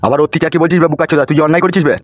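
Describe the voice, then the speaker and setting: Guy doing angry cartoon village boss, threatening, talks super fast. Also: a little high, fairly even intonation, dull audio, slight background noise, close to an everyday voice. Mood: furious. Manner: threatening, foul-mouthed, domineering. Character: bullying village politician, angry middle-aged father. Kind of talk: amateur comedy cartoon dialogue. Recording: music behind the voice.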